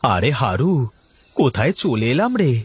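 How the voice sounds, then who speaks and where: An actor playing a hapless, aggrieved blind village husband in a cartoon, with exclamatory, theatrical grumbling. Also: male voice, mid-range voice, very animated, a little slow, breathy edge. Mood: uneasy. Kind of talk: Bengali comic cartoon dialogue.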